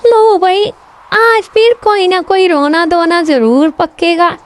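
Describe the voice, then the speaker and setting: A woman voicing a soft-hearted, outspoken talking horse who grumbles and pleads deferentially in a children's story. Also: extremely high pitch, fairly even intonation, slow pace, voice pushed up. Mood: resigned grumbling.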